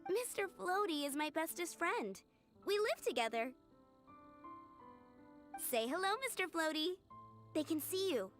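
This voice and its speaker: Very high-pitched dreamy little girl. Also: expressive, measured pace, long pauses, soft background music. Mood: cheerful, friendly. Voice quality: childlike. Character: cheerful dreamy little flower-shop girl, innocent and chatty. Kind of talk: English video game character acting.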